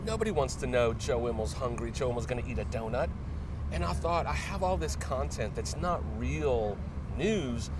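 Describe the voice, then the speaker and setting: A middle-aged man being interviewed, telling casual anecdotes in a halting, hesitant way. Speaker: interview guest, newspaper media director. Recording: distant mic, clear background rumble.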